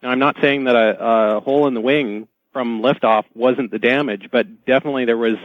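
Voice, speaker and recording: A man as a radio guest, explaining things haltingly and often repeating words. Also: mid-range voice, fairly even intonation, dry recording. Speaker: talk show guest. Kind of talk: explanatory radio interview talk. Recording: dull, lo-fi dry radio feed.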